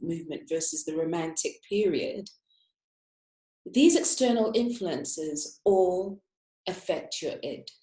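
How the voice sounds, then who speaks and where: A woman's voice: mid-range voice, darkish tone. Give me a woman interior architect delivering a thoughtful talk in an echoey room. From Africa. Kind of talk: prepared talk, measured and reflective.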